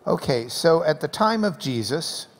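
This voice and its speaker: Guy hosting a class q and a, joky and slow, slightly high voice, lots of hall echo. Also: very animated, slight background noise. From Texas. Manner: folksy, joking, draws out points slowly. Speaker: class host interviewer. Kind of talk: teaching interview before a class. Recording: long reverb of a hall.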